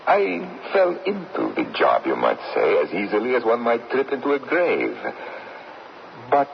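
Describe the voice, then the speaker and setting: An actor playing a courteous, measured middle-aged father who hosts dead guests in an old radio drama. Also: medium pitch, wildly swooping pitch, vocal fry, exaggerated voice. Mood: modest, polite. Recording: dull, noisy old radio broadcast.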